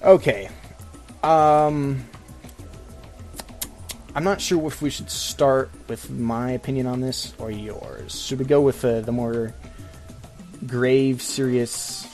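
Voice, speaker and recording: A man hosting a casual podcast, ranting in a halting, sarcastic way. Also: mid-range voice, darkish tone, soft background music. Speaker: podcast host.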